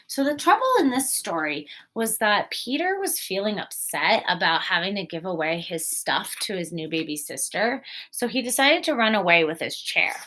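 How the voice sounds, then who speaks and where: A woman reading a storybook aloud as a teacher, expressive and explanatory, putting guiding questions to young children. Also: mid-range voice, normal speed, hardly any pauses.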